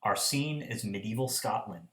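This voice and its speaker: A man's voice: lowish voice, expressive, normal speed, a bit bright. A man reading scripted scene-setting narration as the narrator of a radio play. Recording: echoey room.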